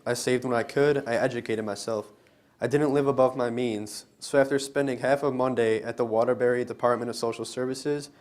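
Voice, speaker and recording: A young man giving an earnest, deliberate scripted reading as a student presenter at a live event. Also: medium pitch, a little mellow. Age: young adult. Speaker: student presenter in readers theater. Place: college library event, live on stage.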